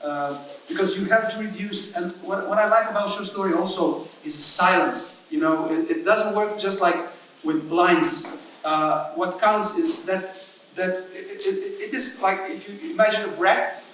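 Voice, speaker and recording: A man with a fairly high voice talks slowly and hesitantly. He gropes for words and muses philosophically, like a writer on a panel. He is recorded from afar, and the sound carries a heavy room echo. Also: bright, forceful tone, slight background noise. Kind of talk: reflective conversation at a literary event. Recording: echoey room, mic far off.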